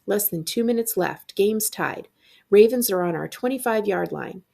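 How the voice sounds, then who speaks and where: Female reader for middle-grade audiobook-style read-aloud, mid-range voice with plenty of fry, expressive librarian delivery. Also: medium pitch, normal speed. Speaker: librarian reading a book aloud.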